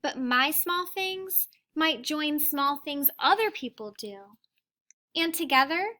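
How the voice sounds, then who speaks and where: Woman, high-pitched voice, unhurried pace, children's read-aloud, expressive teacher delivery. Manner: expressive, deliberate storytelling, addressing young children. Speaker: teacher reading a picture book aloud to children. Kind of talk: children's story read-aloud with asides to listeners.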